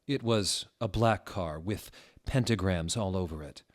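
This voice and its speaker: A man narrating a story as a comic dramatic reading, with a theatrical, deliberate, mock-serious delivery. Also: sits low.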